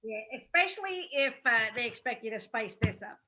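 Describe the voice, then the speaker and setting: A woman's voice: on the high side, animated, normal speed, a loud crowd, natural voice. A woman playing Heffalump, a gleeful, dim, sneering demon sidekick who jeers and gloats in a stage play reading. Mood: gloating. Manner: gloating, jeering, goofy.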